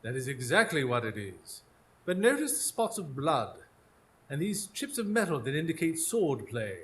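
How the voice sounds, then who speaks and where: An actor playing a shrewd Victorian gentleman detective in a live radio drama, speaking in formal, articulate deductions. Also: a little high, very animated, moderate pace. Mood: deducing. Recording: noisy live stage recording.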